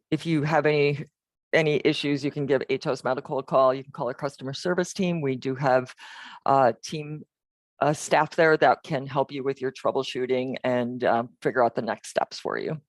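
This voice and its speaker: A woman's voice: sits low, no echo. A woman giving an informal webinar presentation as a medical device company representative.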